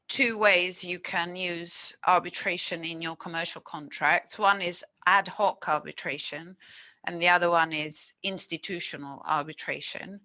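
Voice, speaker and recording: Low, bright-toned woman panelist on a video call, forceful explainer, dry sound. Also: no echo. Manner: explanatory, with frequent fillers. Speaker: webinar panelist, lawyer.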